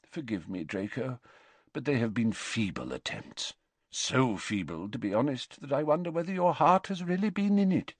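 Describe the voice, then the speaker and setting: Guy doing a gentle old wizard, soft and mild, lilting, high-ish, very dry. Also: moderate pace, no echo. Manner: mild, soft-spoken and calm. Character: wise, gentle very old male wizard headmaster.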